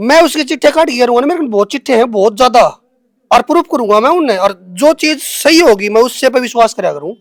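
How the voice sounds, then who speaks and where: A young man with a Haryanvi accent speaks in a strikingly high-pitched voice. He is giving an interview in his own defence, colloquial and emphatic, often repeating himself. The sound is close and dry, with very little room in it. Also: lively intonation, fast, no room sound. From Haryana, India. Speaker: interviewee answering accusations against him.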